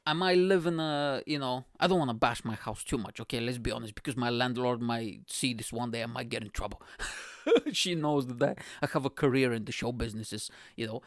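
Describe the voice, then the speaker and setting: A man's voice: medium pitch, a little fast, no room sound, clean studio sound. A young man from Bulgaria, hosting his own show in a profane, rambling comedic monologue that keeps checking in with the listener. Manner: profane, rambling, keeps asking "you know what I'm saying". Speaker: podcast host.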